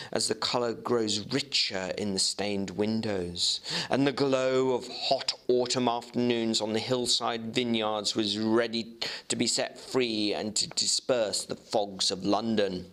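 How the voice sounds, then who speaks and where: A man reading and explaining literature as a narrator and teacher, in an expressive, emphatic manner. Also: mid-range voice. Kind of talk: dramatic audiobook-style reading with informal commentary.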